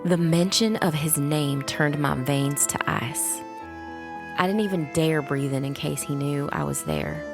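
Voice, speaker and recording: A woman playing a wary, resolute young witch who narrates a dark fantasy audio drama in a measured storytelling way. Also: sits low, very animated, exaggerated voice. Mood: fearful, resolute. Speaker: first-person narrator. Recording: music bed under the voice. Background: music.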